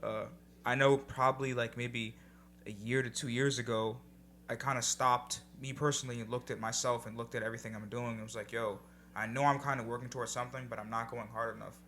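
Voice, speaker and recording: Young man, casual podcast talk, reflective, mid pitch, faint background hum. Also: steady tone, a little fast, a bit bright. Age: young adult. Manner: laid-back, reflective, casual swearing. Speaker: podcast co-host. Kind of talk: casual chat.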